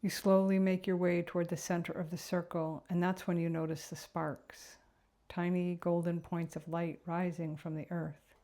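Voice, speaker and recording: Low, mellow woman guiding a meditation. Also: level tone. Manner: gentle, unhurried, reflective delivery. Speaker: meditation guide. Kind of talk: guided meditation narration.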